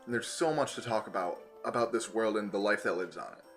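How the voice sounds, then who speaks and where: A man with a cold, slightly raspy and hoarse, hosting an educational channel and explaining science in a casual, enthusiastic way. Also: fairly low pitch, fairly quick, faint music behind. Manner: enthusiastic, conversational, with filler "you know".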